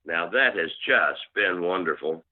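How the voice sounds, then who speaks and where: A man's voice: sits low, animated, measured pace. A man answering interview questions as a club management consultant, explaining steadily with many fillers. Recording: tinny phone line.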